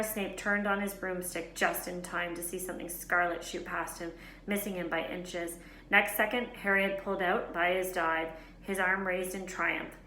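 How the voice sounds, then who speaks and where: A woman teacher reading a children's novel aloud in a lively, expressive way, fixing small slips as she goes. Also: lowish voice. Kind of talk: storybook read-aloud narration. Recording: roomy echo, faint background hiss.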